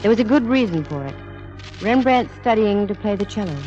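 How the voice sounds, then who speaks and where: A woman's voice: medium pitch, expressive, brisk, dark tone, lightly acted. A woman playing a cool, shrewd female private eye in a 1940s radio drama, composed and wry. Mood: narrating, wry. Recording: dull vintage radio recording, music behind.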